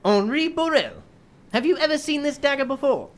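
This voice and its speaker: A woman playing a courteous, self-assured gentleman detective, composed, deliberate and formal, in an old-time radio drama. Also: high-pitched, big pitch swings. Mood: probing.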